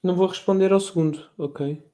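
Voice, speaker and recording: A man's voice: high-pitched, steady tone, a little fast, warm tone. Chatty young Portuguese man in a quiz. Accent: European Portuguese. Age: young adult. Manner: chatty, stumbles and restarts while recalling names. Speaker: quiz contestant. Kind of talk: casual quiz chat among friends.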